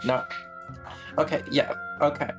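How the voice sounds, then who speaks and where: A woman's voice: low-pitched, steady tone, a little slow. A woman streaming a game, chatting casually with irreverent, sweary commentary. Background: game music.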